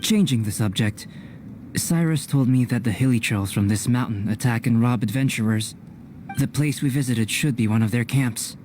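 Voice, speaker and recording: A man voicing a calm, scholarly, slightly aloof young alchemist in an anime fantasy game, with formal, deliberate phrasing. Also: mid-range voice, a little hiss or hum, close to an everyday voice. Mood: calm, analytical. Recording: game audio with clear background ambience.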